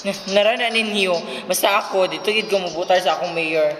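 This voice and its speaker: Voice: medium pitch, a little fast, fairly bright tone, a little hiss or hum, natural voice. A performer playing a young provincial student, casual and playfully exasperated with friends, in an amateur radio drama recorded in an echoey room.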